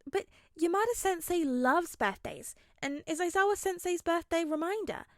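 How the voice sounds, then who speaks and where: A woman's voice: extremely high pitch, expressive, moderate pace, no room sound, studio quality, pitched above the performer's own voice. A woman voicing an indignant young friend in a message chat, emphatic and full of questions. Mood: indignant, puzzled.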